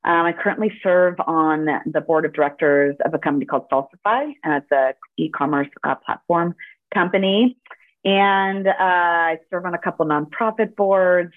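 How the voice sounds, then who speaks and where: A woman in her forties with a low voice tells her story warmly and fluently, with a few fillers. She grew up speaking Spanish. Her voice comes through a thin, phone-like line with no room sound at all. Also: normal speed, dry recording. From Los Angeles, United States. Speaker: podcast guest, corporate lawyer and author. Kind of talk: interview conversation. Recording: dry, phone-like narrow sound.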